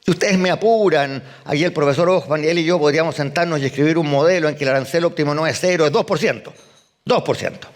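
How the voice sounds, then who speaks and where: A man's voice: fairly high pitch, fast. An older man giving a conference keynote as an economist, emphatic, firing rhetorical questions and answering them at once. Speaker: economist speaker. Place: event hall.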